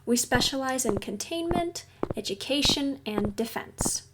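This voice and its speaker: A woman playing a caring, reassuring counselor at a secret base in an audio roleplay, speaking gently and explaining things soothingly. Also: sits high, expressive, a little slow, very noisy. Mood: calm, explanatory. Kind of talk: audio roleplay addressed to the listener.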